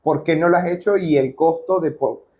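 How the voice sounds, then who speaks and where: Man, podcast host, slightly high voice, casual interview chat, informal, English business terms mixed in. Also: normal speed, a little mellow.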